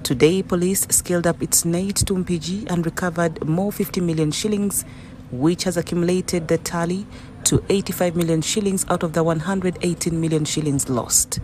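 A man reading a scripted news voice-over in a measured, formal way. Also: on the high side, fairly even intonation, darkish tone, a little hiss or hum. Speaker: news reporter.

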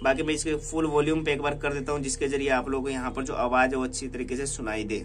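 A man's voice: medium pitch, flat delivery, fast, a little hiss or hum. A man with an Indian accent narrating a casual hands-on tech tutorial, explaining repetitively and mixing in English words. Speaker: tech vlogger demonstrating a phone feature.

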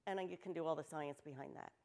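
A woman's voice: low-pitched, fast, vocal fry. Older woman telling stories on stage. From New Jersey, United States. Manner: lively, candid, joking asides. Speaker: conference speaker. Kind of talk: storytelling keynote talk. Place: stage of a large auditorium with audience.

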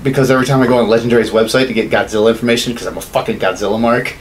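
A man's voice: mid-range voice, steady tone, very fast. A man in his thirties chatting casually and bluntly as a podcast co-host, swearing freely as he gives his opinions. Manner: blunt, profane. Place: small home studio room. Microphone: podcast mic on desk boom arm. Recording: clearly audible background noise.

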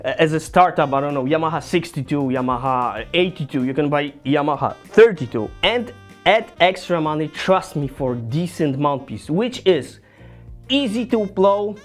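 Polish man talking saxophones, halting English. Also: fairly high pitch, lively intonation, faint music behind. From Poland. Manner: earnest, halting non-native English with many fillers. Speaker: saxophone teacher. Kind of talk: casual gear review to camera. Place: small indoor home studio.

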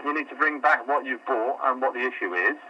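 A man's voice: medium pitch, a little fast, muffled recording, noisy background. A man working store customer service, answering a call patiently but growing exasperated, stumbling and repeating himself. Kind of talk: phone call.

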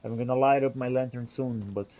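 A man's voice: medium pitch, fairly even intonation, a little fast, dark tone, close to an everyday voice, low-quality recording. A man role-playing a musing, companionable fantasy adventurer in a video game, speaking in theatrical, archaic phrasing as he comments on his journey. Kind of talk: in-character gameplay commentary.

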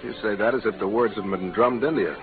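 An actor playing a courteous, easygoing cowboy hotel owner in a radio Western, folksy and reassuring. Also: male voice, fairly low pitch, quick pace, lightly acted. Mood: easygoing, musing. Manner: folksy, reassuring, drawling politeness. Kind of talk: 1940s radio western acting. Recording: tinny old radio recording, music behind.

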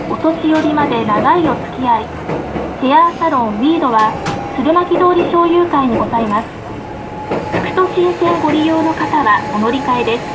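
A woman reading scripted tram announcements in a polite, carefully enunciated manner. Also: sits high. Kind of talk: scripted recorded onboard announcement. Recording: noisy field recording of tram speaker. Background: tram running noise.

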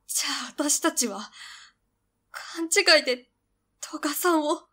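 Anxious, earnest young female, played by a woman, extremely high voice, pleading audio drama delivery. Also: takes it slowly, a bit bright. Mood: shaken. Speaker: voice actor. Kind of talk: anime-style voice drama acting.

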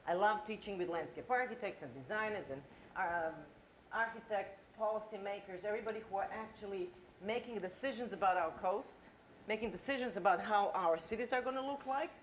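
A woman's voice: medium pitch, brisk. Croatian accent lady lecturing a hall on a headset mic, asks the crowd stuff, middle-aged, bit of hiss. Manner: engaging, asks the audience questions. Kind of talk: conference talk.

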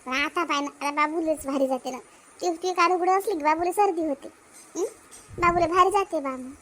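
A doting, bossy cartoon grandmother, acted by a woman, pitched very high. She coos and fusses in rustic Varhadi Marathi tones. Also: a little fast, a bit bright. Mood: fussing, bossy. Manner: cooing, fussing, scolding.

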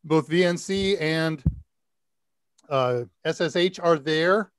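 An older man in his sixties hosting a live tech Q&A, talking casually and deliberately as he thinks aloud. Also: a little high, clear, resonant tone, no echo, clean studio sound. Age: older, 60s. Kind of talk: casual technical advice. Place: indoor room, video call.

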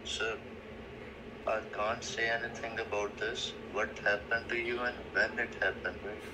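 A man's voice: on the low side, level tone, unhurried. A man answering in short, repetitive, defensive phrases during an unscripted call, heard through a phone speaker. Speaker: prank call recipient. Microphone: phone speaker picked up in a room. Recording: phone speaker with faint background noise.

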